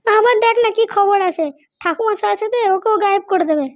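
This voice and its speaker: A performer voicing a scheming, haughty woman politician in a cartoon comedy, bossy, scolding and fond of sharp questions. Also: very high voice, brisk, dry recording, studio quality. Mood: spiteful. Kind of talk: amateur cartoon comedy dialogue.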